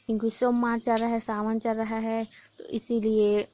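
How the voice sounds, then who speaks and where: A young woman from Chhattisgarh, hoarse from a sore throat, chatting casually to her viewers in a home vlog. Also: a little high, little pitch movement, normal speed, dark tone, slight background noise. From Chhattisgarh, India. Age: young adult. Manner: chatty, keeps addressing viewers as friends. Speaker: vlogger. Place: village home during a festival.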